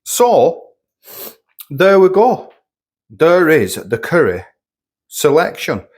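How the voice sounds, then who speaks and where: A man's voice: on the high side, big pitch swings, slow, a little mellow, husky. A man reviewing supermarket food at home, chatty and rambling, sniffly with a cold coming on. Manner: chatty, rambling, repeats himself. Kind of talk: casual food review vlog. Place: home kitchen.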